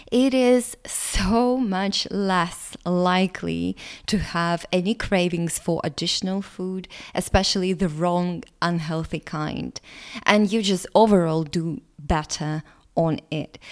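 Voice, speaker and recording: Slow-speaking Russian-accented woman. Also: lowish voice. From Latvia. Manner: earnest, explanatory, occasional soft laughs. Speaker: nutrition coach. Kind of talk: solo podcast monologue.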